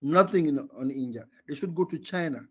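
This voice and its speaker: An older man with a husky voice and a Senegalese accent, native in Wolof, answers as an interview guest. He is emphatic and opinionated, repeating words to drive points home. The audio is dull and lo-fi. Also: on the high side, a little fast, darkish tone. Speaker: interview guest, former sports marketing executive.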